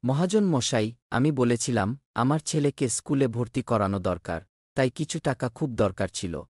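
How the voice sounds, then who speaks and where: A man's voice: medium pitch, expressive, normal speed, a little mellow, dry recording, studio quality, lightly acted. A man playing a humble, troubled poor village father in a cartoon, meek and apologetic. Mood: humbly pleading.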